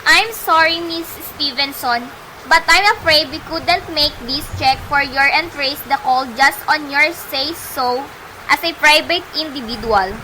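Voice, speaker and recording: Woman acting a very bright, high-voiced telephone operator. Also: a little slow, poor audio. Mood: formal, official. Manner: formal, procedural, detached. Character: cool, officious female telephone operator. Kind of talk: amateur radio play acting. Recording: faint background noise, amateur home recording.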